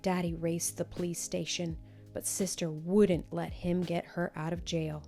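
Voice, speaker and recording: A woman's voice: fairly low pitch, a little slow, faint music behind. A woman reading a children's story expressively, as scripted narration, acting out the dialogue. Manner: animated, acts out each character's dialogue. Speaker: storybook reader.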